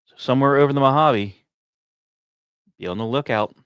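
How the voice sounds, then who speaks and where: A male podcast host narrates space and science material in a medium-pitched voice. He phrases it like a dramatic story, setting off short fragments with pauses and now and then stepping aside to address listeners. The sound is dry and close, with little room around the voice. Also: a bit bright, clean tone, no room sound.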